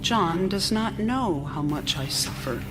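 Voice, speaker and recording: A woman's voice: on the low side, animated, slow, over loud music. A woman playing an anxious, meek young wife in live period radio theater, with fretful, formal old-fashioned diction. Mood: confiding, melancholy. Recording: live theater recording, clear background noise.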